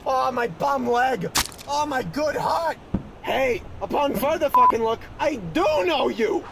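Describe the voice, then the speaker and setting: A man playing a crude, folksy old sailor in a parody of a 1940s radio play, with a gravelly voice. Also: extremely high pitch, expressive, unhurried, noisy background, full character voice. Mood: pained, alarmed. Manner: folksy, swearing, exclamatory delivery. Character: foul-mouthed salty old sailor, cheerfully crude.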